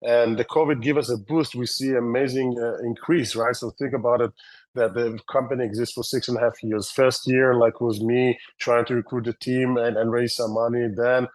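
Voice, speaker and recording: A man's voice: mid-range voice, level tone, moderate pace, no echo, rough recording. A man in his thirties with an Israeli accent, a company founder answering interview questions in a loose, rambling way with many fillers and restarts.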